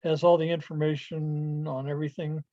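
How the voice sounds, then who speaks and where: A man with a soft-edged, relaxed voice gives an informal club presentation online. He explains in a halting, rambling way. The sound is dry and somewhat muffled, like a lo-fi video call. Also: a little high, dry recording.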